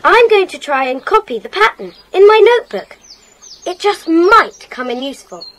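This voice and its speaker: A woman playing an observant, decisive schoolgirl detective with a brisk, earnest manner in a children's audio drama. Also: extremely high pitch, very animated, a bit bright, heavily acted.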